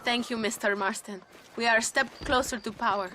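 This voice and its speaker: An actress playing an earnest, determined young revolutionary in a video game. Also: female voice, fairly high pitch, fairly even intonation, brisk, pushed and bright, slight background noise. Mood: grateful, determined. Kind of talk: dramatic video game acting.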